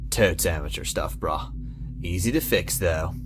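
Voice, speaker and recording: A man's voice: low voice, unhurried, over music. A man playing a laid-back, know-it-all slacker dude with bro slang in a visual novel game. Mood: mellow, nitpicking. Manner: drawling surfer-bro slang.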